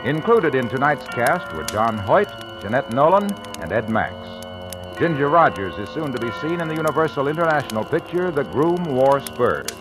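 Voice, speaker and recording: A man's voice: medium pitch, sing-song, normal speed, music in the background. An American man reading scripted mid-century radio ads and credits with emphatic, polished salesman delivery. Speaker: commercial announcer.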